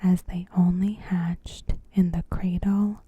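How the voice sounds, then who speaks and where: Female ASMR narrator: whispered, very breathy commentary, extremely unhurried, in short measured phrases. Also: on the low side, flat delivery, warm tone, no room sound. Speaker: ASMR host. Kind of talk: ASMR, softly spoken TV episode commentary.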